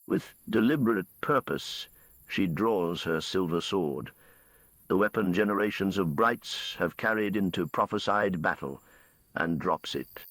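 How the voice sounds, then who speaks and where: Deep-voiced man narrating a bedtime story. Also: unhurried, fairly bright tone, dry recording.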